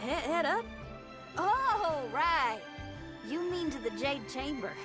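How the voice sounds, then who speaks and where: A woman playing an exuberant, upbeat young woman in a video game, talking in an excitable, breezy, slangy way. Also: high voice, slow pace, over music.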